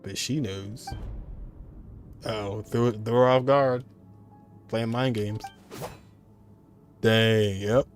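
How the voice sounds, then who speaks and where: Male streamer, casual and quippy, on the low side, reacting to gameplay with faint music underneath. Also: steady tone, drawn-out words, long pauses. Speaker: game streamer. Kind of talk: casual live gameplay commentary.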